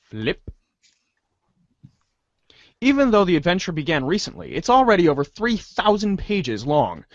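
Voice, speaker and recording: A man's voice: high voice, dry recording. A man co-hosting and narrating a storybook read-along in a hesitant, self-correcting way, on a dry, slightly dull recording. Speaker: co-host and fill-in narrator.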